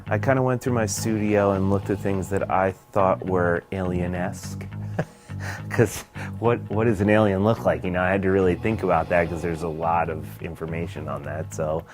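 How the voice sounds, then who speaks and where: A man, a sculptor, talking casually in an interview, relaxed and musing. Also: sits low, normal speed, music in the background. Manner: relaxed, musing, laughs easily.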